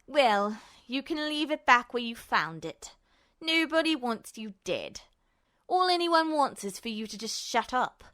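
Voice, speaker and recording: A woman's voice: a little high, sing-song, measured pace, a bit bright. A woman voicing a smug, bitter male villain in an audio story, cold and contemptuous, with hissing, snarling delivery. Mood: cold disgust.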